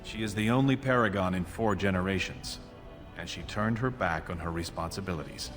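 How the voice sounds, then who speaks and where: An actor playing a scheming, courtly dwarf prince in a video game, speaking formally and persuasively in measured phrases. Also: male voice, deep voice, music in the background, natural voice. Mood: calculating. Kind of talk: video game dialogue acting.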